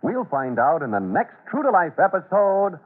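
A man narrating an old-time radio serial as its announcer, in a theatrical, deliberate style. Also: high-pitched, very animated, breathy edge. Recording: tinny, band-limited old radio recording.

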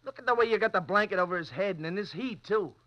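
An actor playing a blunt, slangy beat constable in a 1940s radio drama. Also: male voice, high voice, fast talker, sharp tone. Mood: gruff. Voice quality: gruff, slangy. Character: gruff male beat cop, blunt.

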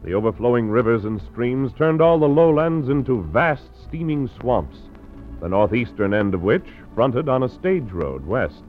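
A man's voice: mid-range voice, big pitch swings, measured pace, faint music behind. A man narrating a western adventure as the announcer of an old-time radio show. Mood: dramatic. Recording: dull vintage radio recording.

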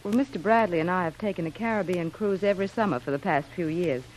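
A woman's voice: fairly low pitch, quick pace, a little mellow, close to an everyday voice. An actress playing a plucky shipwrecked wife in a vintage radio drama, telling her tale earnestly. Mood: earnest storytelling. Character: plucky shipwrecked young wife spinning a cover story. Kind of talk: 1940s radio acting. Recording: noisy vintage radio recording.

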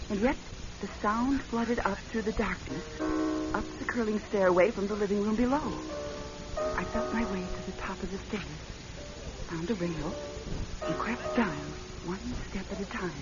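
A woman's voice: mid-range voice, lightly acted. Radio drama female lead, played by an actress: practical woman in love, uneasy, dramatic storytelling delivery, muffled recording over music. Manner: hushed, dramatic storytelling, earnest pleading in dialogue. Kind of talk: radio drama acting and first-person suspense narration.

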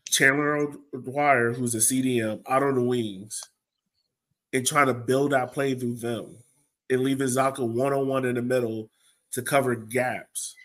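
A man's voice: medium pitch, fairly even intonation, a little slow, a bit bright. A man hosting a sports podcast in a casual, colloquial way, sounding exasperated and throwing out rhetorical questions. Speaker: podcast host.

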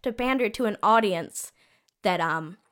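A woman's voice: medium pitch, animated, normal speed, fairly bright tone. Female interviewer, mid-range voice, dry teasing questions. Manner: wry, teasing, pointed questions. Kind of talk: casual interview chat.

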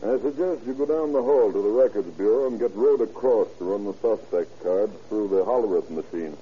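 An actor playing a brisk, commanding police captain, businesslike and directive in old-time radio style. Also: male voice, fairly low pitch, slightly rough. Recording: muffled vintage radio with faint noise.

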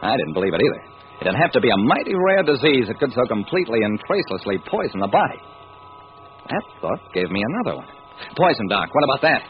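A noisy, muffled old radio recording carries an actor as a hard-boiled male detective. He tells his crime story in dramatic, clipped phrases, with an animated rise and fall. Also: medium pitch, quick pace, natural voice. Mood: brooding, probing. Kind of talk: 1940s radio acting, first-person crime narration.

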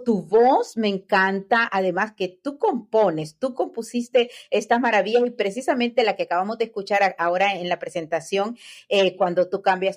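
This is a woman hosting a podcast about personal growth, interviewing a guest. Her voice sits at an ordinary pitch for a woman. She talks in an effusive, enthusiastic way and praises her guest warmly. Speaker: podcast host.

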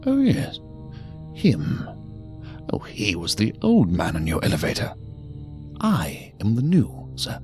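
A man's voice: fairly low pitch, wildly swooping pitch, takes it slowly, long pauses, darkish tone, faint music behind, exaggerated voice. A man playing an eerie, urbane elevator attendant in a science fiction audio drama, with ornate, mock-courteous phrasing. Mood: ominous glee. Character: eerie, urbane male elevator attendant in green suit, menacingly polite.

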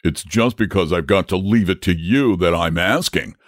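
A man's voice: low voice, moderate pace, no room sound, studio quality, pitched above the performer's own voice, natural voice. A man voicing a big, genteel, old-fashioned storybook dragon with a drawling, testy, fussily polite manner. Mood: testy, anxious. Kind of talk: storybook character voice.

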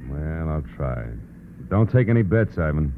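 A man's voice: very deep voice, lively intonation, a little slow, heavy background noise. An American man playing a tough, wry private detective in a 1940s radio drama, clipped, slangy and streetwise. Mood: wry concern. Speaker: lead actor and narrator. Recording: muffled old radio recording with music.

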